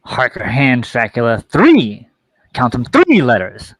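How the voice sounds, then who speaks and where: A man serves as narrator for a script reading. He delivers stage directions and scene headings in a medium-pitched voice. The sound is dry, with very little room. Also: wildly swooping pitch, slow, no room sound.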